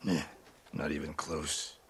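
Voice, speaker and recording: Deep-voiced, stern old samurai master. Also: male voice, monotone, a little hiss or hum. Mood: grave, regretful. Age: older. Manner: terse, grave, measured. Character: stern older samurai archery master, proud and regretful. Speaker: archery sensei. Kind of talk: dramatic video game acting.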